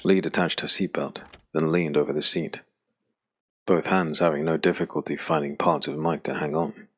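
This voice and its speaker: A man narrating a scripted dark fantasy audiobook with a deep, gravelly voice. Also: fairly even intonation, moderate pace.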